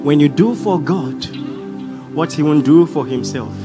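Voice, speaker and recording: A man's voice: on the high side, animated, measured pace. A young pastor with a Nigerian accent addressing his congregation exuberantly from a stage in a large church hall. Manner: exuberant, shouting praise. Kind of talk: sermon to a congregation. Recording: loud live church with crowd and music. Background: loud crowd and guitar music.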